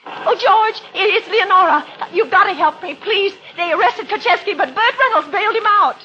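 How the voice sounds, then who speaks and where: A woman's voice: very high voice, normal speed, pushed and bright, slight background noise. A woman playing a frantic, spiteful middle-aged wife in fear for her life, in melodramatic old radio thriller style. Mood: hysterical panic. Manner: melodramatic, pleading, hysterical. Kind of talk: 1940s-50s radio thriller acting. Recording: dull old radio recording with music.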